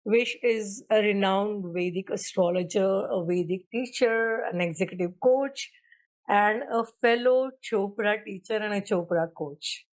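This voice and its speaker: A woman's voice: mid-range voice, a little slow, poor audio. A woman hosting an interview podcast, giving formal welcomes and asking questions. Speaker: podcast host.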